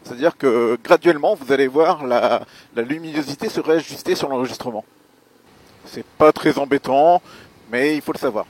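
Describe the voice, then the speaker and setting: A man giving a spoken gear review as a motovlogger, explaining things in a measured, hesitant way with pauses. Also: medium pitch. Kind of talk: spoken product review while riding.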